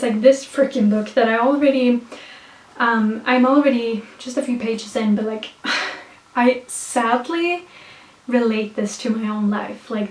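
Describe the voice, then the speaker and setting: A young woman from Sweden with a Swedish accent, chatting in a hyper, self-mocking way with many fillers and restarts, then reading her own poems slowly. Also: a little high, darkish tone. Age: young adult. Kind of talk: rambling casual chat and slow poetry reading. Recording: echoey room, voice a bit far from the mic.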